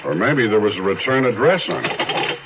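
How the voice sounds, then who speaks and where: An actor playing a shrewd, methodical police detective who narrates his case in a measured way in a 1970s radio drama. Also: fairly low pitch, big pitch swings, background noise. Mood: pensive, probing. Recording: dull 1970s radio recording with music.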